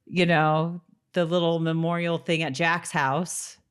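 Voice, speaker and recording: A woman co-hosting a podcast, chatting casually about a TV episode in an animated, jokey way. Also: low-pitched, measured pace, smooth. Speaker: podcast co-host. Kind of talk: casual TV episode recap chat.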